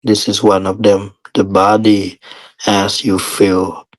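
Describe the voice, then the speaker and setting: A man teaching guided meditation in short, measured phrases with long pauses between them. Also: lowish voice, level tone, poor audio.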